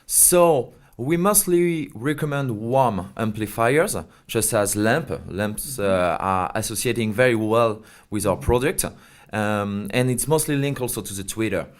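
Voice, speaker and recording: A man's voice: medium pitch, measured pace. Man explaining a product. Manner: hesitant non-native English phrasing. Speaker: company representative being interviewed.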